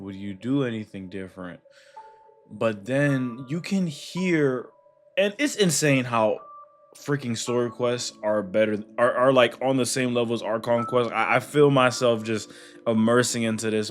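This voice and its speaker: A young man giving casual, pensive reaction commentary on a game story, halting and repeating words as he thinks. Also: mid-range voice, fairly bright tone. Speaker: gaming streamer reacting to story. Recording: music behind.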